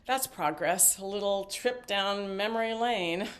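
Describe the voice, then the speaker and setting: A woman's voice: mid-range voice, unhurried, natural voice. An actress playing a talkative, flippant older mother in a stage play, rambling and joking theatrically with stammered asides. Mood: chatty, playful.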